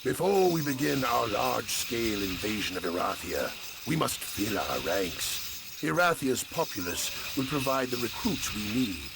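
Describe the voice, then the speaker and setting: An actor playing a cold, scheming necromancer war commander, narrating a game cutscene in a theatrical, commanding, gravelly voice. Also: male voice, medium pitch, big pitch swings, a little slow, noisy background. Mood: ominous. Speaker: campaign briefing narrator. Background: music.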